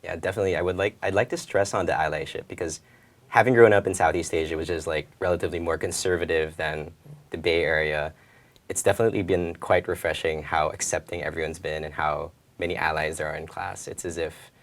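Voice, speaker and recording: Male student, twenties, very low voice with heavy fry, informal panel talk. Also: fairly even intonation, quick pace. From Philippines. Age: 20s.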